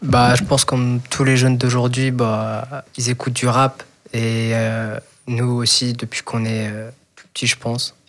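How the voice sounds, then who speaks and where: A teenage male from Nantes answers interview questions in casual speech. His voice sits at a middle pitch and stays quite flat, with little melodic movement. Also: a little slow. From Nantes, France. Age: teens, seventeen. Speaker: young rapper, interviewee. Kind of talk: casual chat.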